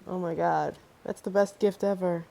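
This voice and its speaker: Creaky, mellow-voiced woman reacting to a game. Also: on the low side, steady tone, normal speed, big-room sound. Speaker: gamer commenting on gameplay. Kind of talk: casual reaction commentary.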